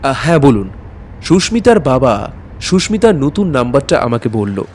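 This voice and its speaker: A man's voice: medium pitch, sing-song, normal speed, darkish tone, slight background noise, natural voice. An actor playing a courteous, hesitant maths tutor, telling his own eerie story in an audio drama. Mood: polite, hesitant. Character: anxious, courteous male maths tutor recounting an eerie night. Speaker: narrator and lead character. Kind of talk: dramatic first-person ghost-story narration.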